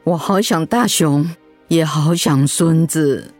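A woman's voice: deep voice, slow pace, darkish tone, no room sound, faint music behind. A woman playing a regretful, plaintive elderly mother near death in a radio drama. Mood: tearful regret. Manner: plaintive, sorrowful. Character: regretful, dying elderly mother longing for her son.

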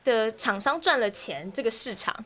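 Bright, lively Taiwanese woman podcast host. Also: a little high, moderate pace. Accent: Taiwanese Mandarin. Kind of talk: casual chat.

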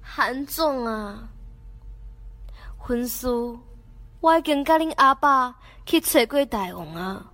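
A woman's voice: high-pitched, expressive, bright, forceful tone, full character voice. A woman with a Taiwanese accent playing a scholar's sorrowful mother in a student radio drama, motherly and hesitant. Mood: reluctant, sorrowful. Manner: halting, motherly.

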